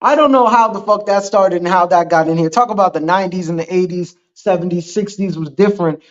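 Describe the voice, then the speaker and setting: Earnest high-voiced man, native Spanish speaker. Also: brisk, highs cut off. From Dominican Republic. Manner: earnest, rambling, agreeable. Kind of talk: casual live-stream chat.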